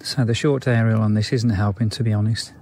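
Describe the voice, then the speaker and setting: A man's voice: lowish voice, steady tone, brisk, a little mellow. A man giving a casual, hands-on product review in an understated, matter-of-fact and candid way.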